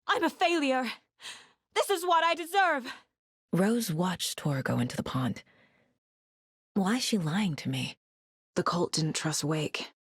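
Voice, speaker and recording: A woman playing a determined, sharp investigator in a horror video game, measured and introspective, often as if talking to herself. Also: mid-range voice, very animated, breathy edge, no echo, clean studio sound, heavily acted. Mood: distressed, urgent, then focused, pensive. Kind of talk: cinematic video game acting, naturalistic.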